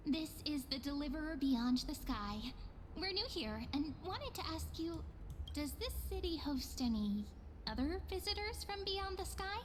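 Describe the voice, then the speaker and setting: A woman's voice: high-pitched, big pitch swings, moderate pace, a little hiss or hum. A woman playing a curious, courteous young heroine in a fantasy game, speaking in a polite, composed way. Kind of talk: video game voice acting.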